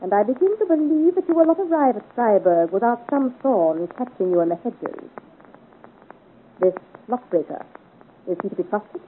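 Woman acting a high-voiced, haughty scheming noblewoman. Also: lively intonation, moderate pace, a little hiss or hum, lightly acted. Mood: scheming resolve. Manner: imperious, commanding, theatrical. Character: haughty, scheming noblewoman villain of seventeenth-century France. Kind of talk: 1950s radio serial acting. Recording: muffled vintage radio serial recording.

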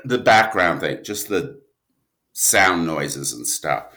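Deep voiced guy on a podcast, unhurried, rambly, keeps cutting himself off. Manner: animated, rambling storyteller, self-interrupting. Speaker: guest, audio drama writer and producer. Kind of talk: casual podcast interview talk.

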